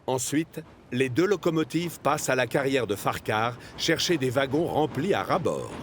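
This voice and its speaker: Lively male cartoon narrator. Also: mid-range voice, normal speed, slight background noise. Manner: lively, expressive storytelling. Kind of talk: scripted storybook narration. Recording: narration over music.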